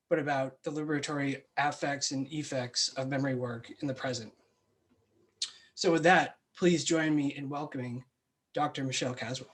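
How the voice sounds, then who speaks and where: A man hosts an academic webinar over a video call. He speaks at a medium pitch in a formal, measured way. His delivery is that of a prepared introduction read aloud. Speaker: host introducing a speaker, assistant professor.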